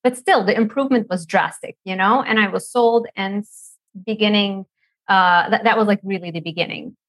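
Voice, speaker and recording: Woman from Lebanon, thirties, casual storytelling, laughing often, middle pitch, dry recording. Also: moderate pace, no echo. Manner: chatty, laughs often, many fillers and restarts. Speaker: nutrition professor, guest.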